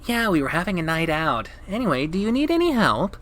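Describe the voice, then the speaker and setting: A man's voice: sits high, very animated, fairly quick, fairly bright tone, pitched above the performer's own voice. A man voicing an easygoing male friend in a visual novel, practical and helpful.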